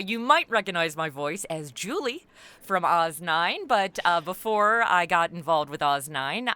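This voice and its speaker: A woman's voice: lowish voice, sharp tone, clear, resonant tone, dry recording, clean studio sound. A woman speaking as a podcast guest, enthusiastically explaining her work in a casual interview chat. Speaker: podcast guest, audio drama writer and actor.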